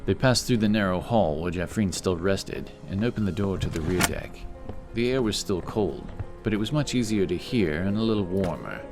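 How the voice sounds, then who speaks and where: A man with a very low voice narrates a fantasy tale in scripted audiobook style. Clear music plays behind him throughout. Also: animated, normal speed.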